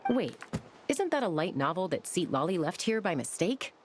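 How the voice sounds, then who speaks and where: Lower-voiced, frank young friend. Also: female voice, sing-song, normal speed. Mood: incredulous. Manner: conversational, questioning. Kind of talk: video game voice acting. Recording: clear background noise.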